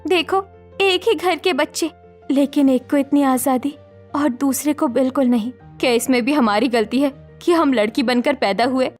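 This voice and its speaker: A woman's voice: sits high, moderate pace, a little mellow, faint music behind, lightly acted. A woman voicing an aggrieved young adult daughter in a cartoon story, pleading and indignant.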